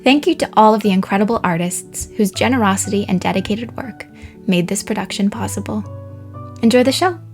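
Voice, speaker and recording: A woman's voice: medium pitch, brisk, a little mellow. A woman reading a scripted introduction as announcer. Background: guitar music.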